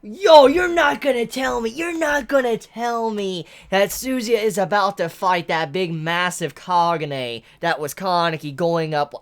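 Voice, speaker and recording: A woman giving a chatty, excitable live manga reaction while congested from a head cold. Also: mid-range voice, unhurried, hardly any pauses. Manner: excitable, chatty, exclaims often.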